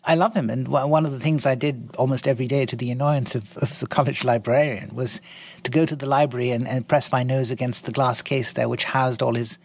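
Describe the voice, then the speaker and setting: A man in his sixties from India, a guest in an interview, speaking in an articulate, measured way with frequent ums and restarts. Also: mid-range voice, fairly even intonation, creaky, husky. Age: 60s. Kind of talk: interview conversation.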